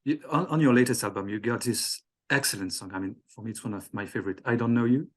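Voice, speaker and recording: A man whose first language is French, interviewing a musician in English, halting and hesitant with many fillers and self-corrections. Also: mid-range voice. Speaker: fan-club interviewer. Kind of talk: interview questions.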